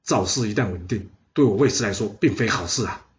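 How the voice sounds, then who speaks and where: A man's voice: lowish voice, a little fast, a little hiss or hum, natural voice. A man voicing a commanding ruler of an ancient state. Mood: calm.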